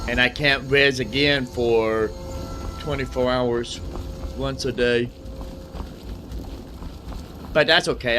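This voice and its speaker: Slow-talking man doing casual gameplay commentary. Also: mid-range voice, noisy background. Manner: thinks aloud, repeats himself. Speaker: gaming video host. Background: game music.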